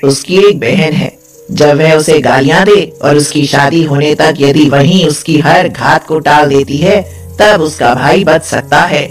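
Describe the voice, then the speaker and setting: Woman voicing a low, solemn old goddess of fate. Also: unhurried, soft background music. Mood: solemn, foreboding.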